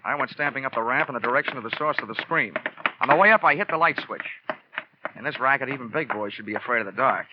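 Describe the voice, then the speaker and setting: A man's voice: medium pitch, fast, noisy background, lightly acted. A man playing a wisecracking, cool investigator in wry, hard-boiled 1940s radio drama style. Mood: wry narrating. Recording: dull old radio broadcast recording. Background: music.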